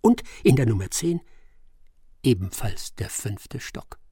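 A man's voice: mid-range voice, sing-song, slow pace, mellow, breathy edge, no echo, clean studio sound, exaggerated voice. An actor playing a shrewd English detective who mutters his deductions to himself in a theatrical radio drama style. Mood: satisfied muttering. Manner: muttering, self-talking, theatrical.

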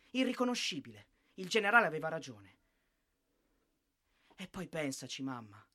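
A man's voice: very high voice, bright, forceful tone, exaggerated voice. An actor playing a dead young soldier, bitter and disillusioned, declaiming to his mother in a radio drama. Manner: declamatory, emphatic, dramatic phrasing. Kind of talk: theatrical radio drama monologue.

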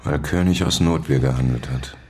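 Deep, blunt, world-weary man. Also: moderate pace, a little mellow, slightly rough. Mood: probing, dry. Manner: direct, dry, pressing. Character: blunt, world-weary male investigating journalist. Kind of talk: German radio drama acting.

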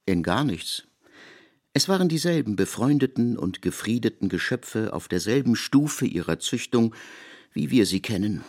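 An actor playing the narrator of an ancient biblical saga in a radio play, with measured, ornate phrasing. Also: male voice, fairly low pitch, expressive, a little mellow. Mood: measured, erudite.

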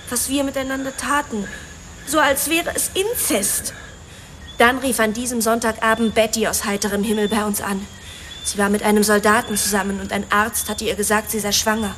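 An actress in a German radio drama playing a spoiled rich daughter who confesses emotionally and justifies herself. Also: female voice, on the high side, steady tone, moderate pace, a bit bright, slight background noise, close to an everyday voice. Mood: defensive, confessing.

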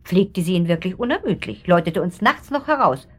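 An actress playing a gossipy, middle-aged upper-class pharmacist's wife in a German radio drama, insinuating and pointed, with trailing asides. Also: female voice, fairly low pitch, animated, brisk, breathy edge.

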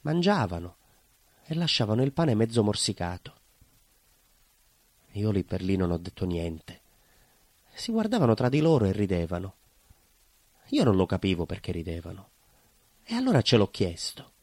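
A man's voice: lowish voice, wildly swooping pitch, moderate pace, pauses often, a little mellow, no echo. A man playing a nostalgic, naive, proud neighbourhood baker in a theatrical monologue, with a southern Italian accent and a colloquial, rambling, emphatic way of telling. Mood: naive storytelling. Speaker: stage actor.

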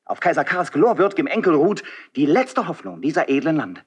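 A man's voice: a little high, sing-song, normal speed, slightly rough. A man playing a noble, idealistic marquis and loyal friend in a classical German verse radio drama, declaiming earnestly and eloquently. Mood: solemn appeal.

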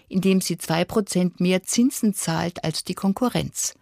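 A woman radio announcer delivers a scripted introduction. Her voice sits a little low and is recorded close and dry, with no room sound. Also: a little mellow, no echo.

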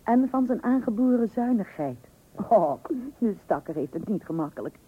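An actress playing a long-suffering, dryly ironic wife in an old radio comedy, with a Netherlands Dutch accent. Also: female voice, mid-range voice, animated, normal speed, slightly rough. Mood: wry. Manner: dryly ironic, mock-patient. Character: wry, long-suffering wife humouring her pompous husband. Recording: muffled old radio recording.

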